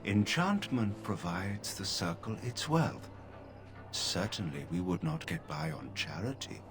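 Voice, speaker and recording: An actor playing an emotionless male mage from a video game, who speaks in a calm, formal, flat way. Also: low voice, unhurried, over music. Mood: detached. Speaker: game character.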